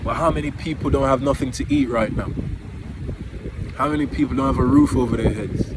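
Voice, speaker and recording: A man's voice: mid-range voice, sing-song, a little fast, creaky. A man with a Jamaican accent giving an earnest, emphatic, informal motivational talk outdoors, repeating key phrases. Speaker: motivational vlogger. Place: outdoors near home by the water. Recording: very noisy outdoors, wind on the microphone.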